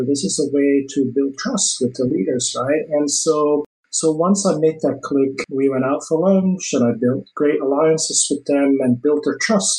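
A man's voice: mid-range voice, a little mellow, rough recording. A man from Belgium whose first language is Dutch, speaking with a Belgian Dutch accent as an earnest, enthusiastic interview guest who often adds "you know" and "right?". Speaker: guest, HR executive. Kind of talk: interview conversation.

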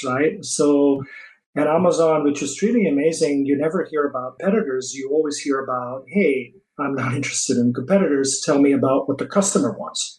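A man's voice: mid-range voice, darkish tone, low-quality recording. A man from Belgium whose first language is Dutch, speaking with a Belgian Dutch accent as an earnest, enthusiastic interview guest who often adds "you know" and "right?". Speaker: guest, HR executive. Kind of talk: interview conversation.

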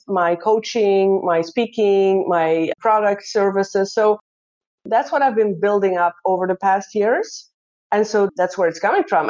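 A woman's voice: medium pitch, darkish tone. Upbeat Belgian-raised woman, slightly muffled audio. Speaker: guest, life coach. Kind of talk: casual interview talk.

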